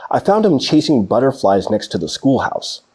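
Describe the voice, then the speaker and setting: A man voicing a gentle, nostalgic old grandfather in a storybook read-aloud. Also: mid-range voice, very animated, normal speed, slightly rough. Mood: amused, nostalgic.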